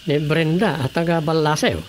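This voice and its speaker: An actor playing a skeptical, teasing brother-in-law in an Ilocano radio comedy drama, expressive and questioning, with an Ilocano accent. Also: male voice, a little high, normal speed, close to an everyday voice. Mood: curious, teasing. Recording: faint noise with night insects.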